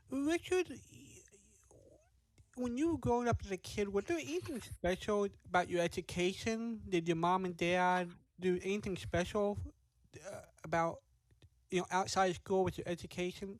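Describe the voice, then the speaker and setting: American man, casual interviewer, higher-pitched voice, dry sound without room echo. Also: lively intonation, moderate pace. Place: indoors on a video call.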